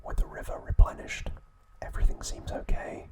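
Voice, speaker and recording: A man whispers his way through an ASMR recap. His voice is breathy and unvoiced from start to finish. He delivers the narration in hushed, measured short phrases, as a host guiding the listener through trivia.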